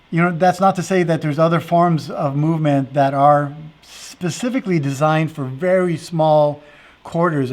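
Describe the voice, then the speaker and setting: A man hosting a virtual festival and interviewing dancers, who talks in an earnest, meandering way full of fillers. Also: on the high side. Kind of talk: casual interview chat.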